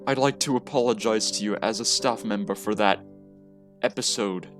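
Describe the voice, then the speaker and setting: A man's voice: fairly low pitch, normal speed, faint music behind, natural voice. A man voicing an elderly lady teacher in a visual novel, composed, stern and formal. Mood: formal, concerned. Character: fragile but commanding elderly lady teacher.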